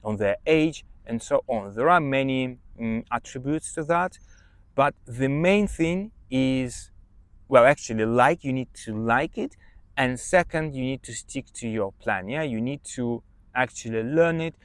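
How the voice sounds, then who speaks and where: A man's voice: mid-range voice, lively intonation, measured pace, smooth, no room sound. A man with a Russian accent, a native Russian speaker, hosting a podcast and chatting through study tips in an emphatic, conversational way. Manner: chatty, emphatic, checks in with "yeah?". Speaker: podcast host, English teacher. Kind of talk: casual advice monologue.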